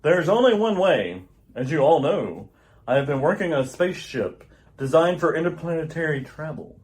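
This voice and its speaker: A woman acting as a grave scientist from a doomed world, his voice dropped low and solemn. He declaims his warnings with urgency, in the manner of an old radio play. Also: expressive, normal speed, darkish tone, rough recording. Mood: grave, urgent. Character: Jor-El, grave male scientist warning of planetary doom. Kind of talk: amateur old-time radio drama acting.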